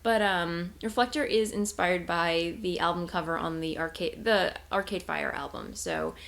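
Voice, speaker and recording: Young woman podcast host, congested and nasal, low gravelly voice, meandering casual chat. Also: normal speed, fairly bright tone. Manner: rambling, self-correcting, giggly. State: tired. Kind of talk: casual chatty video podcast.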